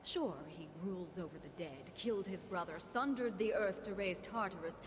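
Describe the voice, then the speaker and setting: A woman's voice: medium pitch, moderate pace, noisy background, lightly acted. A woman voicing a poised, haughty goddess of spring and the underworld in theatrical video game voice lines. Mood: dry, defensive. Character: poised goddess of spring and underworld, graceful, regal, slyly menacing.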